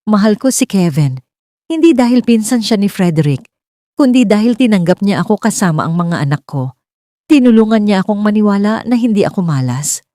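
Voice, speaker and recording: A woman's voice: medium pitch, big pitch swings, fairly quick, dark tone, no echo, studio quality, pitched above the performer's own voice, lightly acted. A woman voicing a long-suffering widowed daughter-in-law, earnest, respectful and emotional, pleading yet resolute. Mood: hurt, resolute.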